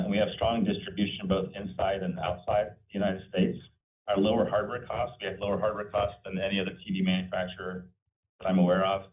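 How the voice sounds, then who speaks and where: A male company executive giving off-the-cuff answers on an earnings call, often repeating and restarting phrases. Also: low-pitched. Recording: distant mic, muffled phone-like conference line.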